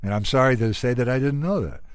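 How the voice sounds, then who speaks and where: A man's voice: mid-range voice, fast, clear, resonant tone, no echo. An American man hosting a radio interview in a studio, chatting casually with his guest.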